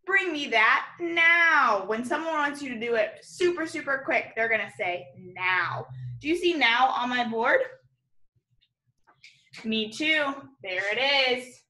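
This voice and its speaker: High, bright-voiced young woman teacher. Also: takes it slowly, poor audio. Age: young adult. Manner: animated, encouraging, enunciating words and letters for young children. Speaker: elementary school teacher. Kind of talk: early-grade video lesson to children, call and response. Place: room in a home, indoors.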